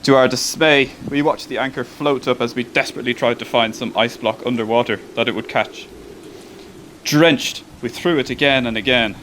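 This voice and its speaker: A man's voice: mid-range voice, fairly even intonation, normal speed, a bit bright, a little hiss or hum, lightly acted. A man playing a ship's chief engineer who soberly narrates a polar expedition in a radio play. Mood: tense. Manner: sober storytelling.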